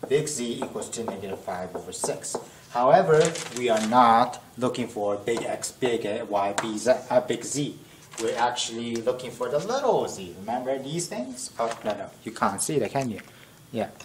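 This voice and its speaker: A young man working through a math problem at a whiteboard in a casual lesson, thinking aloud and correcting himself, his voice strained as if he is losing it. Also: medium pitch. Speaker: math tutor. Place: classroom at a whiteboard. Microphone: camera-mounted mic at a distance. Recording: echoey room, distant camera mic, faint noise.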